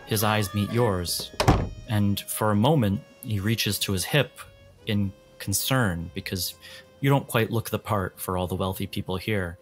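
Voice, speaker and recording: A man narrating a tabletop game as game master in a deliberate, atmospheric, descriptive way. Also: on the low side, soft background music.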